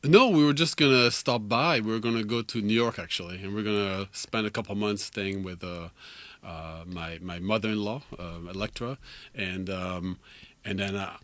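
A man's voice: on the low side, normal speed, fairly bright tone, dry recording. A man in his forties whose first language is French, talking casually and a little rambling as a musician guest in a radio studio, laughing often. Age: 40s. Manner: chatty, laughing, rambling with fillers.

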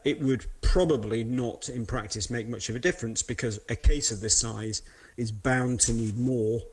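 A man giving measured, analytical commentary as an expert on the radio. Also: medium pitch, husky.

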